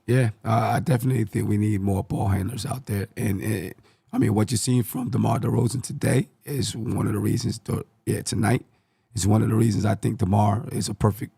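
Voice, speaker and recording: A male sports show host with a rough, hoarse voice full of gravel and fry. His delivery is relaxed and conversational, and the sound is dry and close to the mic. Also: lowish voice, fairly quick, dry recording. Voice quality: scratchy, gravelly voice. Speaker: podcast host. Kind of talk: casual sports talk.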